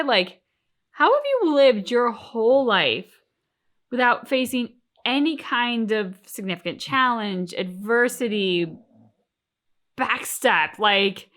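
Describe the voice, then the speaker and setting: A woman chats as co-host of a reaction show. Her voice sits a little high, and she comments casually and with firm opinions. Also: fairly bright tone.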